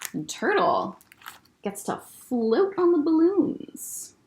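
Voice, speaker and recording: A woman librarian reading a picture book aloud to children, gently commenting on the pictures. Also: high voice, huge pitch swings, takes it slowly, slight background noise. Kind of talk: children's story time read-aloud.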